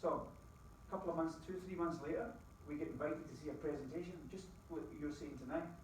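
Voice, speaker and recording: A middle-aged Scottish man giving a lively motivational talk to a live audience, quizzing the crowd with folksy asides. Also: on the high side, fairly quick, a little hiss or hum. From Scotland. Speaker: business opportunity presenter. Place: presentation room with an audience. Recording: very echoey room, distant mic.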